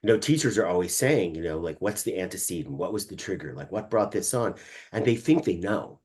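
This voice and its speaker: A man hosting a conversation, animated and quick to restart his sentences with repeated words. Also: low voice, husky. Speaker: podcast host and trainer. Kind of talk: conversational interview.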